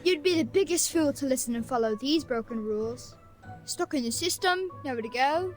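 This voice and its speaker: A woman's voice: high voice, animated, normal speed, faint music behind, low-quality recording. A woman voicing a sassy, streetwise boy who mocks the rules with a sly, cynical delivery in a game. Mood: sardonic. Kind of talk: game voice acting.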